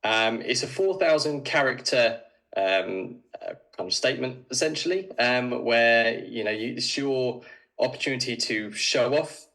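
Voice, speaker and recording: A man's voice: medium pitch, unhurried, a bit bright, rough recording. A man, a doctor with a cold and a slightly snuffly voice, presenting to university applicants in a casual online talk full of fillers.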